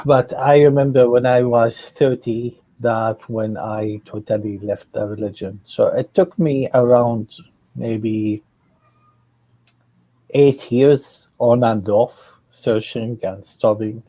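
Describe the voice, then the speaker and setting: Man, unhurried and thoughtful, mellow low voice, dry close sound; chatting guest on a video call. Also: fairly even intonation, no room sound, rough recording. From Syria. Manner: slow, hesitant, reflective. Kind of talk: casual conversation.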